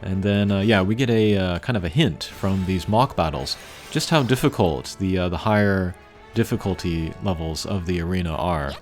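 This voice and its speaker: A man giving casual, unscripted gameplay commentary as a channel host, thinking aloud in a measured way. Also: low-pitched. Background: game music.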